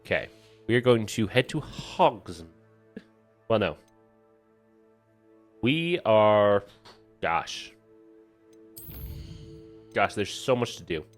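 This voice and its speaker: A man's voice: fairly low pitch, very animated, slow, long pauses. A man doing casual live playthrough commentary as a streamer, thinking aloud and playfully repeating phrases. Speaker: video game streamer. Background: game music.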